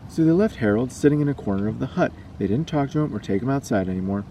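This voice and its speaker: A man's voice: medium pitch, animated, fast, warm tone. A man reading a scary story aloud as a storyteller. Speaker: storyteller and vlogger. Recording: distant mic, noisy background.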